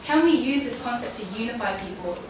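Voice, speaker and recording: A woman's voice: on the high side, animated, brisk. Young woman presenting in an echoey hall. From London. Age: young adult. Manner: poses many questions to the audience. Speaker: seminar presenter. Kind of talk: academic presentation. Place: lecture hall. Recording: echoey hall from far off, dull and noisy.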